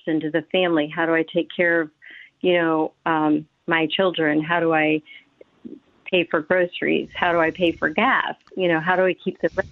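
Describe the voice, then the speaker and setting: A woman's voice: low-pitched, no room sound, narrow, tinny audio. A woman speaking as a mental health professional in a radio interview, earnest and explaining with many fillers.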